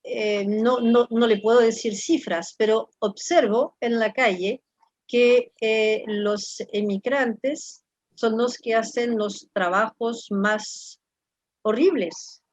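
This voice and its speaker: A woman's voice: fairly high pitch, steady tone, slow, no echo, lo-fi sound. A woman from France in her fifties with a French accent, a professor talking as an interview guest. She speaks thoughtfully and halts often, repeating words while she searches for the next one. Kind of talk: reflective conversational interview.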